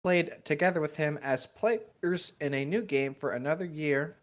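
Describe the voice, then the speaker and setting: A man's voice: fairly high pitch, normal speed, highs cut off. A man bantering casually and reading out a story as co-host, joking and playing along.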